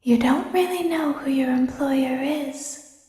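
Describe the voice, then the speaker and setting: A woman voicing an eerie, slyly unsettling female animatronic in a horror game, in a soft, breathy near-whisper. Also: sits high, takes it slowly. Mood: eerie taunting. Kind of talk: horror video game voice acting. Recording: long echoing reverb.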